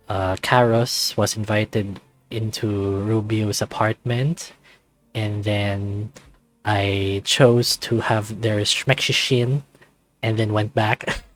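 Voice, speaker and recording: A man hosting a let's play, reading a visual novel aloud over music. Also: lowish voice.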